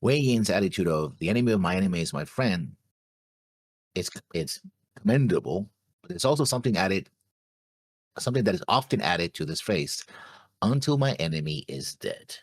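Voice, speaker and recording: A deep-voiced man with a husky, rough edge and lively pitch that moves around a lot. He talks casually through his notes as co-host of a discussion show. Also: normal speed, long pauses, no echo. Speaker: co-host of an anime reaction show.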